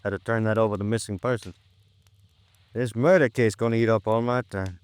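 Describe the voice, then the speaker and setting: Guy doing a folksy american cop lieutenant from old radio, low clear voice, short worn-down sentences. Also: steady tone, brisk, no room sound, lightly acted. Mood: dry, matter-of-fact. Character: laconic, folksy male police lieutenant, overworked. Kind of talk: 1950s radio drama acting.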